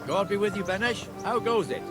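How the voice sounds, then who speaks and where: A medieval town guard for a game, chatty and bossy. His voice sits a little high and swings widely in pitch. He is gruff and plain-spoken, used to giving orders. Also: expressive, brisk, loud music behind the voice. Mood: probing, stern. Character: gruff, talkative medieval town guard showing a newcomer around. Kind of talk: video game dialogue acting.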